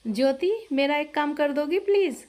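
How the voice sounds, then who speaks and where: A woman's voice: high voice, normal speed, darkish tone, pitched above the performer's own voice, natural voice. A woman voicing a chatty eldest sister-in-law who hands out chores in a family story. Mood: matter-of-fact.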